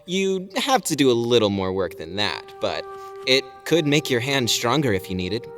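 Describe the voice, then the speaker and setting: Guy playing an eager young alien fighter, mid voice, real animated, kinda cocky, chatty, audio drama. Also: medium pitch, normal speed, faint music behind. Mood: enthusiastic. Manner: casual, hesitant then enthusiastic.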